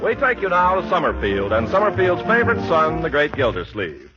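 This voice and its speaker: A man announcing a 1940s radio show in a polished, emphatic sponsor-pitch style. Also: wildly swooping pitch, a little fast, over loud music. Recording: muffled, raspy 1940s radio recording.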